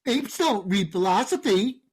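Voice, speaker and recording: A woman's voice: medium pitch, animated, unhurried, husky. A woman playing a dim, hot-headed male thug in a staged comedy reading, blustering and defensive.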